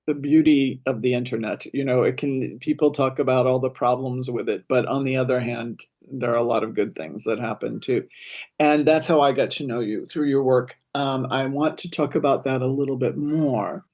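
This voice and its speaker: Man, interview host, gravelly, thoughtful, curious, filler pauses. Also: medium pitch, steady tone, darkish tone. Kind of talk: conversational interview.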